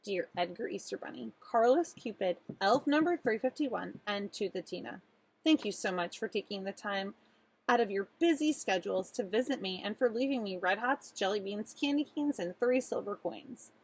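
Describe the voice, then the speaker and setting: A woman voicing an earnest little girl who tells her own storybook tale in an expressive, pleading way. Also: mid-range voice, normal speed, breathy edge, poor audio. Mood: polite, pleading. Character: earnest little girl narrator with a sweet tooth.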